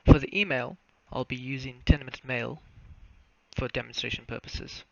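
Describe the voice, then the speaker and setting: A young South African man giving a formal, step-by-step narrated walkthrough of software. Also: mid-range voice, steady tone, normal speed, gravelly. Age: young adult. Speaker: student software developer presenting a demo. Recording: muffled lo-fi mic, faint noise, clicks.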